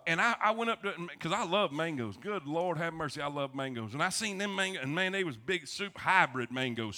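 Man, Southern US accent, pastor, high sharp voice, animated folksy preaching, storytelling. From South Florida, United States. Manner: folksy, animated, repeats phrases, calls for amens. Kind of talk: sermon, folksy storytelling to a congregation.

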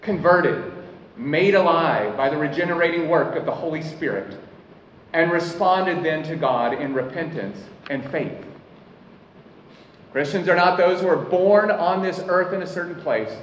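A man preaching a sermon as pastor, earnest, slowing down to stress his key points. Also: fairly high pitch, slight background noise. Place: church hall. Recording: echoey hall, mic at a distance, dull sound.

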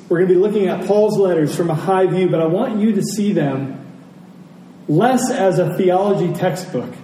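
Mellow-voiced male preacher in an echoey room. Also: a little high. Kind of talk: sermon. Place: church service, speaking to congregation. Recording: roomy echo, distant mic, faint noise.

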